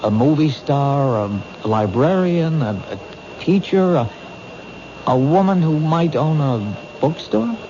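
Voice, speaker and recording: An actor playing an earnest, friendly police detective, plain-spoken and persistent, in a radio drama. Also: male voice, a little high, expressive, slow, dark tone. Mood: probing. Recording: muffled, noisy old radio recording.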